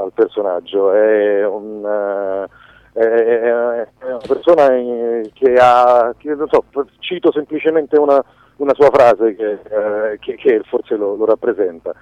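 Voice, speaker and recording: A man giving a conversational radio interview as a filmmaker, haltingly searching for words and restarting phrases. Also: lowish voice, steady tone, no room sound. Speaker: documentary filmmaker being interviewed. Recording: dull lo-fi radio sound, dry.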